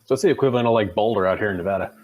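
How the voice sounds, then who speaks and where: American guy, kinda low husky voice, game dev nerding out casually, pokes fun at himself. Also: very fast, a little mellow, lo-fi sound. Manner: self-deprecating, jargon-heavy, casually profane. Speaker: video game developer. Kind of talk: casual technical chat.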